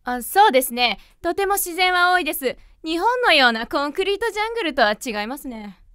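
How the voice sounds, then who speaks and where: A woman playing a brash, tactless interpreter in a comedy voice drama, blunt and haughty with a deadpan manner. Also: extremely high pitch, a little fast, dry recording, studio quality, heavily acted. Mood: formal translating. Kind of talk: comedic Japanese voice drama acting.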